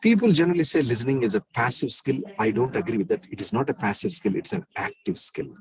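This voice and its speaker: A man with a deep bass voice, lecturing an online class in an emphatic way and repeating key phrases. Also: animated, fast, a crowd in the background, poor audio.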